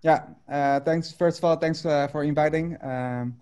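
A Dutch man in his thirties speaks English over a video call from a room at home. His voice is mellow and dark, and he talks haltingly, filling gaps with ums and restarting phrases. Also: a little high, steady tone, clear, resonant tone, muffled recording. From Netherlands. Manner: hesitant, many fillers and restarts. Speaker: artist guest.

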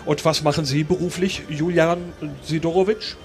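A man's voice: a little high, fairly even intonation, moderate pace, faint music behind, lightly acted. A man playing a suave, probing Soviet husband with a formal manner in a live radio play. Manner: formal, inquisitive.

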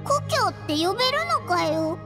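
A woman voicing a tiny, excitable fairy companion who talks bluntly with boyish endings, as a game character. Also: very high voice, moderate pace. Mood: chirpy. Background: game music.

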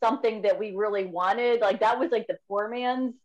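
A woman's voice: medium pitch, moderate pace, dull audio. A woman in her fifties, a metal musician, chatting casually on a video call with many fillers and restarts. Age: 50s. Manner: rambling, frequent fillers and restarts. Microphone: video call webcam.

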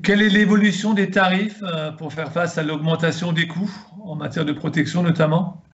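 A man's voice: a little high, steady tone, normal speed. A man in his sixties asking formal, prepared interview questions over a video call. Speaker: interviewer, host. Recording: dull, lo-fi video call audio.

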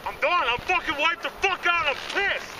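A man's voice: very high voice, moderate pace. A man on a ski slope outdoors, talking in casual banter and swearing constantly. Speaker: skier. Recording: loud wind noise outdoors.

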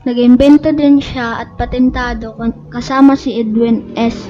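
A woman's voice: fairly high pitch, steady tone, a little slow, over music. A Filipino woman reading a scripted educational narration aloud, stumbling over some words. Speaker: narrator of an educational video channel. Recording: dull lo-fi recording.

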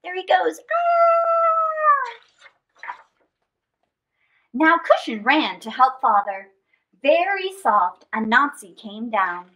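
Very lively, high-pitched woman storyteller. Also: very slow, pauses often, lo-fi sound. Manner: animated, theatrical, with dramatic gasps and stretched words. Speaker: children's story time host. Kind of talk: expressive picture-book read-aloud and chat to children. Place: small indoor space with draped fabric backdrop.

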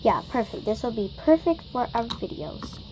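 A woman's voice: a little high, big pitch swings, moderate pace, a little mellow, slight background noise, poor audio. A girl improvising a toy shopping scene, chatty and bossy as she runs the story. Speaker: toy video maker. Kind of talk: improvised toy play-acting.

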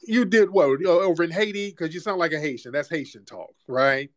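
A man's voice: on the high side, a little fast, no room sound, studio quality. A man hosting a heated call-in debate, combative, repeating himself and talking over the caller. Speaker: host.

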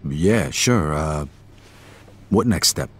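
An actor playing a cool, laconic young American gangster and war veteran, understated and dry in manner. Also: male voice, a bass voice, animated, slow, husky, full character voice. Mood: guarded, respectful.

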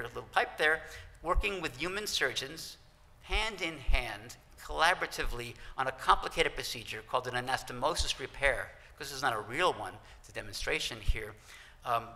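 A man's voice: medium pitch, sharp tone. A middle-aged man giving an enthusiastic conference keynote from a podium, often restarting his phrases. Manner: enthusiastic, with frequent restarts and fillers. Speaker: keynote speaker. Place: large conference hall, at a podium. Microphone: podium microphone. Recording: reverberant hall.

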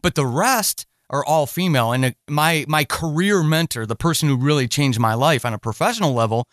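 Higher-voiced man hosting a podcast. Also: brisk, fairly bright tone, dry recording, studio quality. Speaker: podcast host interviewing a writer. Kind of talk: casual interview chat.

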